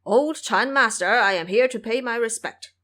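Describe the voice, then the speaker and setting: A woman voicing a brash, grumbling male boar monster turned monk in an audio drama, loud and swaggering. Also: fairly high pitch, normal speed, natural voice. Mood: hearty.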